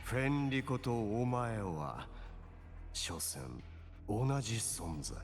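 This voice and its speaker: Grave, stern, echoing game character. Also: male voice, on the low side, sing-song. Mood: stern warning. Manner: solemn, measured, formal speech. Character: grave male game character, stern and formal, giving warnings. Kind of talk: dramatic video game voice acting. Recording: long hall reverb, game music behind.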